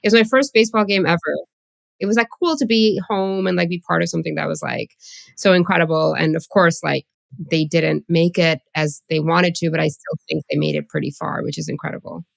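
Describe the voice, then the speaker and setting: A woman in her thirties talks easily as a podcast guest, her voice low and rough with fry. She fills her sentences with casual likes and ums. The sound is dry and close, with no room around it. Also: steady tone, fairly quick, no echo. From Pakistani, raised in Saudi Arabia, moved to Toronto at thirteen. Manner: chatty, lots of likes and ums. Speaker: psychotherapist guest. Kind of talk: casual interview chat.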